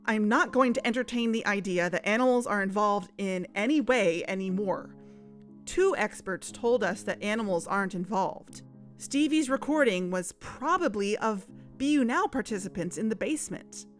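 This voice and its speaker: An actress playing a cautious young woman investigator who speaks hesitantly and softly in an audio drama. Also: mid-range voice, animated, soft background music, natural voice. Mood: accusing. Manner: hesitant, soft, halting.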